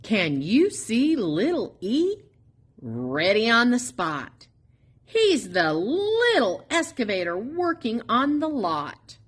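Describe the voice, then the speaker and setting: A woman reading a children's picture book aloud expressively, in a sing-song, drawn-out way with playful emphasis on sound words. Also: a little high, wildly swooping pitch, breathy edge. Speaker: storybook reader at a public library.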